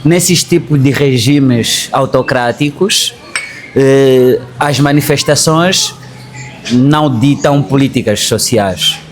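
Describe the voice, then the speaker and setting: A man's voice: medium pitch, slow pace, soft background music. A man from Angola with an Angolan accent, speaking as an interview guest in an explanatory way, often rephrasing himself. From Angola, Bié. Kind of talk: conversational political interview.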